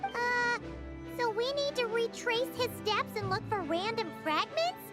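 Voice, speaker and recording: A woman's voice: extremely high pitch, animated, a little slow. An actress voicing a young, sheepish mercenary woman who stammers nervously. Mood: worried. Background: game music.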